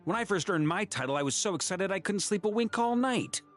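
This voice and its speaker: A man's voice: sits high, animated, brisk, faint music behind. A man playing an exasperated but good-natured veteran knight commander in a game, authoritative and paternal. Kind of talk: game voice acting.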